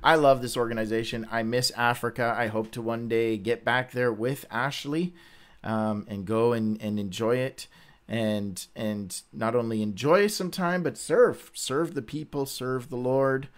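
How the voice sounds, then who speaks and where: A man's voice: medium pitch, steady tone. A man in his forties hosting a casual livestream, chatting informally and often stopping to correct himself. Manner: halting, informal, self-correcting. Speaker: host of a Bible read-along. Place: small indoor room, seated before a webcam.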